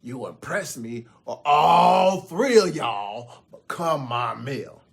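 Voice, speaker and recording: A man acts out a swaggering troll DJ from a folk tale told aloud. He is boastful and menacing, taunting challengers with slow, drawn-out words and big swings in pitch. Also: expressive, gravelly, breathy edge, full character voice. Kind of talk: theatrical storytelling.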